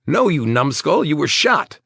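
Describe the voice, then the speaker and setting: Man playing an emphatic, husky small-town mayor. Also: mid-range voice, expressive, a little fast, fairly bright tone, no room sound, studio quality, pitched above the performer's own voice, exaggerated voice. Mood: exasperated. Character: anxious, officious male small-town mayor, loyal friend.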